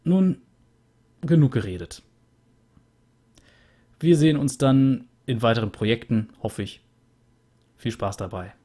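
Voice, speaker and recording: A man's voice: medium pitch, lively intonation, a little slow, long pauses, mellow. A young man hosting a let's play, chatting casually and a bit rambly as he sums up a game, recorded on a USB studio mic at home. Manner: chatty and rambling, with many fillers. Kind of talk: casual game wrap-up commentary. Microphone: USB studio microphone.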